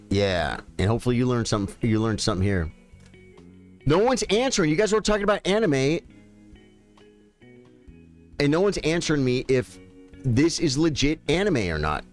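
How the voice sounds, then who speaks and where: A man in his late fifties, a chess streamer chatting casually and folksily with his viewers. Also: mid-range voice. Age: 50s. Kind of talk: casual live-stream chat.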